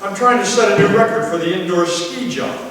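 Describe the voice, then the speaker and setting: A man's voice: sits high, fairly quick, a bit bright. A man playing an exasperated, sarcastic middle-aged father in a live staging of a 1950s radio comedy. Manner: grumbling, dry sarcasm, hesitant stammers. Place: church hall stage, recorded from afar. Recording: distant echoey hall, hissy background noise.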